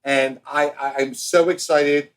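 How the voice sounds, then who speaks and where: A man's voice: a little high, steady tone, unhurried. Showy, teasing American man hosting a podcast. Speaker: podcast co-host. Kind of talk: casual, bantering podcast talk.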